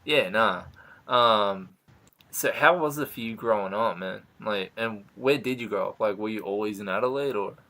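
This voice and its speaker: A man's voice: fairly low pitch, normal speed, bright, forceful tone. A man in his late twenties from Sydney with an Australian accent, hosting a casual interview, swearing freely and laughing often. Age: 20s. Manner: swears a lot, laughs easily. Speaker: host, interviewer.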